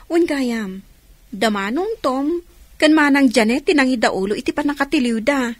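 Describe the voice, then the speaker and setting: Younger ilocano niece, highish voice, dry close sound, reassuring, radio drama. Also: female voice, lively intonation, normal speed, dry recording. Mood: informative. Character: helpful, reassuring younger niece bringing family news.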